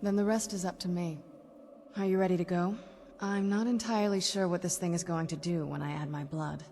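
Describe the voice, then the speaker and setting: A woman playing a young female vampire in a video game, earnest and guarded, speaking in a measured, softly intense way. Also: fairly low pitch, steady tone, a little hiss or hum, close to an everyday voice. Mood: matter-of-fact, wary. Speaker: game companion character.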